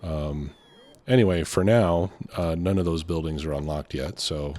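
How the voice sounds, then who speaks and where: A man's voice: extremely low pitch, normal speed, darkish tone. A man with a slightly congested voice from a lingering cold, hosting a casual let's play and thinking aloud hesitantly. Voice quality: slightly stuffy, congested nose. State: getting over a cold. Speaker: gaming video host.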